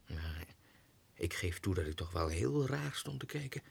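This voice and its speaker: An actor playing an unhinged, excitable low clerk who mutters haltingly to himself in a 1960s radio monologue. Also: male voice, creaky, breathy edge, heavily acted. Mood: bemused muttering.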